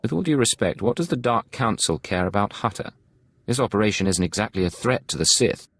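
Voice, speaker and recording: An actor playing a cool secret agent in a video game, terse and controlled. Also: male voice, on the low side, animated, fairly quick, a bit bright. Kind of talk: video game character dialogue.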